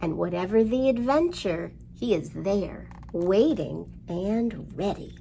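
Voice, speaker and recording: A middle-aged woman hosting storytime for young children, with an expressive, sing-song delivery, recorded indoors a short way from the microphone. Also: medium pitch, slow pace, gravelly, a little hiss or hum. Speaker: children's library storytime host. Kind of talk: storytime rhymes and picture book reading for young children. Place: indoors in a library room.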